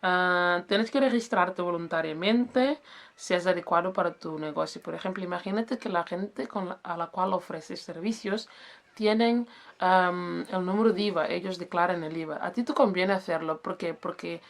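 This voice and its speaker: A woman's voice: on the low side, normal speed, creaky. Chatty woman, Portuguese native speaker. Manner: chatty, self-correcting, searches for words. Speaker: business advice vlogger. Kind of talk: casual explainer.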